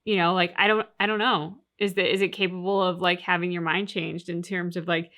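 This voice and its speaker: A woman's voice: lowish voice, little pitch movement, brisk, sharp tone. A woman co-hosting a game reaction show, talking casually and analytically about the story.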